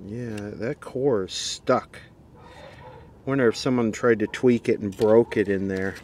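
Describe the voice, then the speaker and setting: A man's voice: fairly low pitch, unhurried, a little mellow, slight background noise. A man giving a casual, unscripted hands-on demonstration as a video host, dry and wry in manner. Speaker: video host and radio repair hobbyist.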